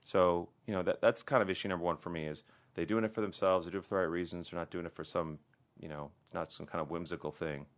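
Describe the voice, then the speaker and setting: A man from the American Midwest, a plastic surgeon speaking as a podcast guest in relaxed casual conversation, full of restarts and fillers. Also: deep voice, fast. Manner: relaxed, conversational, many restarts and "you know".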